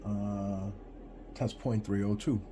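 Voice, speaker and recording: A man giving a casual hands-on repair demonstration at his workbench, talking haltingly and pausing often as he works. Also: lowish voice, little pitch movement, noisy background. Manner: halting, hesitant, pausing often while working. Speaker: radio repair hobbyist presenting a bench video. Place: indoors at a workbench.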